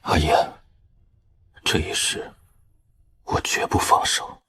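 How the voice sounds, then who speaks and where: A man's voice: low-pitched, normal speed, husky. A man playing a stern, loyal and fiercely protective general in a Chinese costume drama. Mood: tender, weak.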